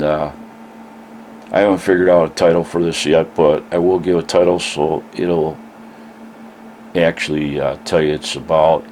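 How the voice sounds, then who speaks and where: Older Midwestern man, scratchy and very deep, unhurried rambling vlogger, a faint hiss behind him. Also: darkish tone. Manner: rambling, with many "uh" pauses. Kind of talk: casual vlog talk to camera.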